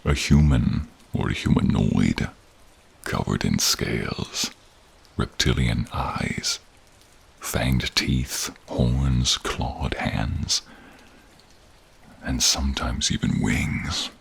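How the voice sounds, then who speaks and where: A man voicing a protective, caring male dragon with a deep, gravelly, breathy voice, speaking slowly, solemnly and formally in a fantasy audio roleplay. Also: exaggerated voice. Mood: grave, troubled. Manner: slow, solemn, formal, dramatic pauses. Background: rain and cave ambience.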